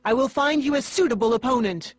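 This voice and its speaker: A woman voicing a determined female demon warrior in a video game cutscene, formal and measured. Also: high voice, breathy edge, dry recording, studio quality. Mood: instructive. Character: solemn, determined female demon swordswoman seeking purity.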